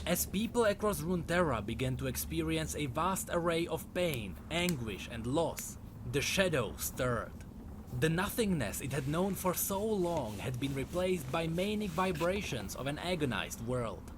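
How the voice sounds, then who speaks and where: A man's voice: on the high side, background noise. A man reading scripted fantasy lore narration in a dramatic storytelling way. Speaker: narrator of a game lore video.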